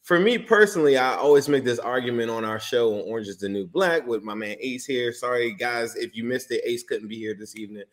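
A man's voice: medium pitch, brisk, hardly any pauses. A man hosting a casual sports podcast, chatty and rambling as he asks long, enthusiastic questions. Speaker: podcast host.

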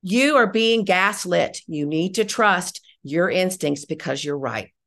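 Middle-aged female interviewee, lowish register with heavy fry, candid, emphatic delivery. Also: lively intonation, measured pace, a bit bright. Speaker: guest, audio drama writer and director. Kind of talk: reflective interview talk.

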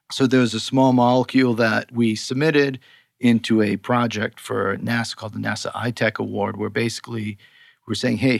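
Gravelly Boston-accented man explaining. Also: medium pitch, dry recording, studio quality. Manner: explanatory, conversational, many "you know"s. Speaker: skincare company co-founder, guest. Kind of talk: casual interview talk.

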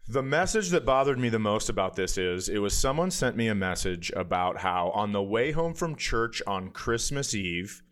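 A man's voice: fairly low pitch, a bit bright. American man swapping crude, funny stories. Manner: crude, profane, teasing banter; laughs readily while telling stories. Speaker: podcast co-host. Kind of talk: casual comedic storytelling.

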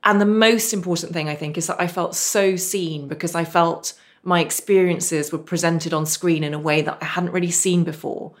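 A woman hosts a podcast with a slightly low-pitched voice. She speaks in a measured, articulate way, earnest as she introduces and questions her guest. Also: steady tone. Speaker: podcast host. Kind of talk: scripted introduction then interview.

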